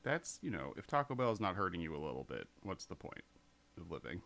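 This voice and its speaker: A man's voice: low voice, big pitch swings, a little fast, vocal fry, fairly bright tone. A man from Southern California with a Californian American accent, joking easily while chatting over a card game. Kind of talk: casual banter during a card game.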